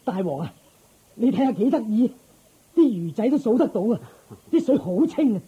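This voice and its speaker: An actor playing a respectful, attentive royal attendant in a Cantonese radio drama, formal and deferential. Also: male voice, very high voice, big pitch swings, normal speed, husky, lo-fi sound, exaggerated voice. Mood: delighted.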